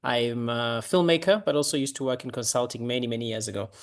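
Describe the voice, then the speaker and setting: A man's voice: mid-range voice, fast talker. A man from Uganda, an applicant, asking questions on a video call, polite and repeating himself as he searches for words.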